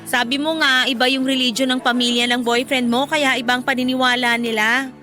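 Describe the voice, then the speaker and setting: A woman's voice: high voice, brisk. A Filipino woman playing a blunt, teasing young best friend in a radio drama. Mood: disapproving. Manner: blunt, questioning, expressive.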